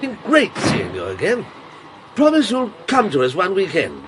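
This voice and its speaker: An actor in a radio drama playing a hearty, complacent middle-class gentleman who chats jovially. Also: high voice, wildly swooping pitch, moderate pace, background noise. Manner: jovial, chatty.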